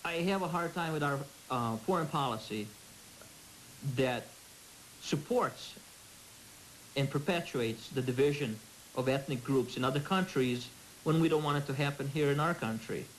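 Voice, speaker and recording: A man's voice: a little high, normal speed, slight background noise, rough recording. A man interviewed for the news, speaking earnestly and with frustration. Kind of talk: interview soundbite.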